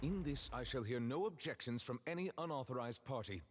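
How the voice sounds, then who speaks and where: A man's voice: medium pitch, lively intonation, a little fast, a little hiss or hum, close to an everyday voice. A man voicing a stern, composed, authoritative chief judge in a video game, speaking formally and commandingly.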